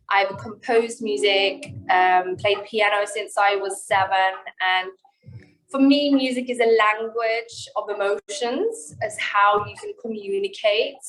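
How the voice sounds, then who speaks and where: A woman's voice: mid-range voice, a bit bright, rough recording. A woman from Norway, a singer and entrepreneur, speaking earnestly in a video-call interview with frequent ums and restarts.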